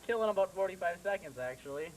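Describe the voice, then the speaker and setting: Man voicing a goofy high-pitched puppet sidekick, noisy recording. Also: lively intonation, fast talker. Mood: chatty, silly. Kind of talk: comedy puppet show banter.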